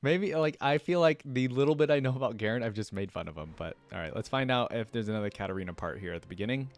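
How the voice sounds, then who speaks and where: A man's voice: mid-range voice, expressive, quick pace. A man giving casual, off-the-cuff reaction commentary as a video host.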